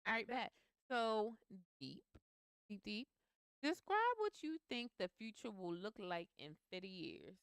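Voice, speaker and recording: Woman, co-host, outspoken, playful, clear smooth voice, dry sound. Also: mid-range voice, unhurried, no echo. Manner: outspoken, playful, animated slang. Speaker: co-host, business teacher. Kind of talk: casual couple chat.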